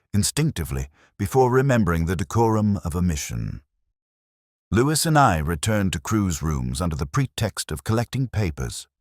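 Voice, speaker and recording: A man narrating a scripted first-person detective story in a measured, literary, reflective way. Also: deep voice, no room sound, clean studio sound.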